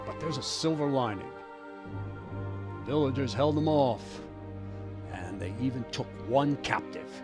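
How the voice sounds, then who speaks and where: An actor playing a blunt guard captain in a video game cutscene, briskly reporting news. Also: male voice, mid-range voice, animated, over music, close to an everyday voice. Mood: grim.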